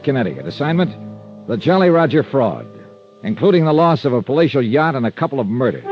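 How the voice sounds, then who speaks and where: An American actor playing a tough, wry insurance investigator in 1950s radio drama, clipped and sardonic. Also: male voice, mid-range voice. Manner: hard-boiled, clipped, sardonic. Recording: dull old radio recording with music.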